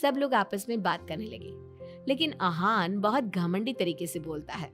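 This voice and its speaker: A woman's voice: medium pitch, animated, normal speed, a bit bright. Woman storyteller over background music. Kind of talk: scripted moral-story narration.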